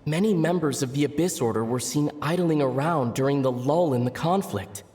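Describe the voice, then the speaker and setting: An actor playing an earnest young adventurer in a video game, reporting in a matter-of-fact way. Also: male voice, fairly high pitch, moderate pace, natural voice. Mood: serious, factual. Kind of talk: scripted video game dialogue. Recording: soft game music behind.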